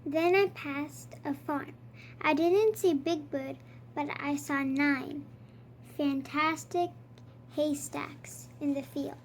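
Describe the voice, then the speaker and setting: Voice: high voice, steady tone, takes it slowly. A child reading a children's picture book aloud as a storyteller, with faint noise in the recording. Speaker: storybook reader.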